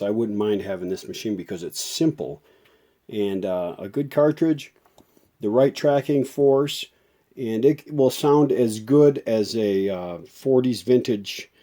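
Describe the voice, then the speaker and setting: A man giving a casual hands-on repair demonstration as a show host, thinking aloud in a folksy, unhurried way. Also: fairly low pitch, dark tone. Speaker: host of a vintage audio repair channel.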